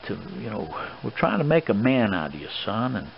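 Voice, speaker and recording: A man playing a stern, fatherly old colonel in an improvised role play, scolding a young man in a halting, rambling way. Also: on the low side, very animated. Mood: stern, paternal. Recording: dull lo-fi recording with clear background noise.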